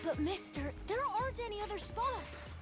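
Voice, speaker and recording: A woman's voice: very high-pitched, expressive, moderate pace, loud music behind the voice. A woman voicing an earnest, eager young boy with a stubborn streak in a video game. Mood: pleading. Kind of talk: English video game dub acting.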